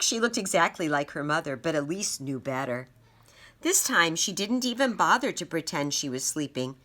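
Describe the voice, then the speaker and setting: Animated, bright-voiced woman reading to children. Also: fairly low pitch. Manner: deliberate, clearly emphasised phrasing for children. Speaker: storyteller and teacher. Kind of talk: children's read-aloud and simple lesson.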